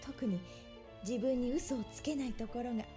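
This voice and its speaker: Actress as young visual novel nurse: high, quick, very animated, polite yet mischievous, music behind. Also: female voice, natural voice. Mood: gentle. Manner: polite, expressive. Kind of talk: Japanese visual novel voice acting. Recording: game audio with music behind. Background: game music.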